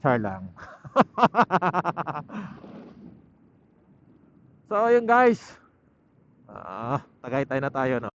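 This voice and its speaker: A man's voice: a little high, very animated, very slow, pauses often, fairly bright tone. A man with a Filipino accent chats casually and playfully to viewers as a motorcycle vlogger, speaking inside a helmet outdoors. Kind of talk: casual riding vlog chat to viewers. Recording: muffled helmet mic with ride noise.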